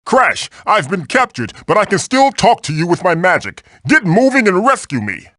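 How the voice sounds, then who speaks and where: A man's voice: high voice, sing-song, normal speed, pushed and bright, no room sound, studio quality, heavily acted. A man voicing a magical floating tiki mask in a video game, a fatherly guide who is theatrical, encouraging and wry. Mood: urgent. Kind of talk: video game character voice lines, tutorials and quips.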